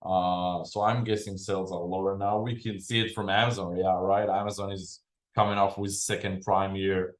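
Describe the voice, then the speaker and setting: A man who founded a freight company, explaining shipping trends as a podcast guest, unhurried and full of fillers and restarts. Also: deep voice, level tone. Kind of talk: explanatory business interview. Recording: roomy echo.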